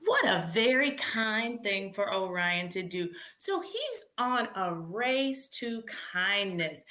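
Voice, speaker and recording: A woman's voice: mid-range voice, sharp tone, slight background noise. A woman hosting a children's read-aloud camp, presenting in simple words and prompting listeners with questions.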